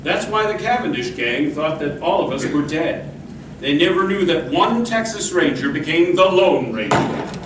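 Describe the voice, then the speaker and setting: A man's voice: fairly high pitch, lively intonation, normal speed, background noise, natural voice. A man playing a heroic, protective masked cowboy lawman in an old-time radio western, speaking in an earnest, commanding and reassuring way. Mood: solemn, reassuring. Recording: roomy, distant live stage recording, music.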